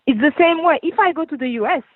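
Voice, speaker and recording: A woman with a French accent explains her views patiently, restating points as she works through them. Her fairly high voice comes through a narrow, phone-like line that sounds dry and close. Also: lively intonation, fast talker, no room sound. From France. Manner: earnest, explanatory, rephrases as she goes. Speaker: guest writer and activist. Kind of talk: radio interview answers.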